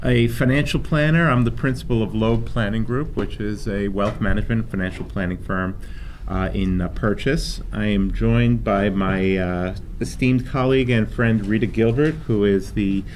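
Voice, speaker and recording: A New York man hosts a community workshop, joking at his own expense and pausing often on fillers. His voice sits a little low, picked up on a room mic with faint noise around it. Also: fairly even intonation, darkish tone. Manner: self-deprecating, joking, many fillers. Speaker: financial planner, workshop moderator. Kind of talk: public workshop presentation. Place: library meeting room. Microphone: microphone on stand. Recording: noisy room recording.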